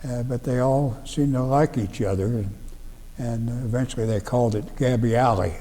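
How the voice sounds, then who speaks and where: A man in his nineties from Ohio, recalling his youth in a slow, halting way with many restarts. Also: mid-range voice, mellow, in a large hall. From Ohio, USA. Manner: halting, plain-spoken, frequent restarts and fillers. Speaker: veteran interviewee. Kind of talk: oral history recollection. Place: library room.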